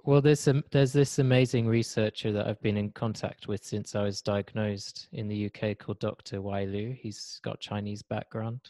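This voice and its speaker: A man in his thirties from Switzerland hosts a casual video-call podcast in a low voice. He halts and repeats words as he feels his way through a point, and the audio is close and dry. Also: steady tone, mellow, no room sound. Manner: halting, repeats words as he searches. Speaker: podcast host. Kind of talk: casual conversation. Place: indoor room. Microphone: video call, desk mic.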